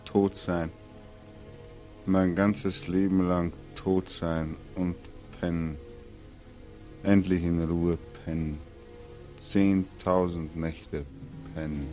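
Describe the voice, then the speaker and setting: A man's voice: low voice, level tone, very slow, pauses often, mellow, faint music behind. An actor playing a weary, desperate man in his twenties in a 1940s radio drama. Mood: weary, defiant. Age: 20s. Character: despairing, weary 25-year-old war returnee wanting to die. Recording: vintage 1940s radio recording.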